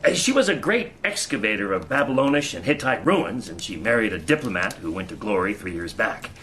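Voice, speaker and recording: An actor playing a shrewd, folksy fixer and spymaster, in a radio drama. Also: male voice, on the low side, animated, fairly quick, pushed and bright, a little hiss or hum. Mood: wry.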